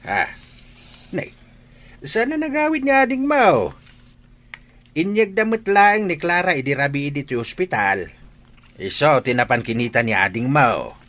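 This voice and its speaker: An actor playing a lively, plain-spoken, fatherly older man in an Ilocano audio drama. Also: fairly high pitch, a little slow, long pauses. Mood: animated, urging. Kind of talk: Ilocano radio-style audio drama acting.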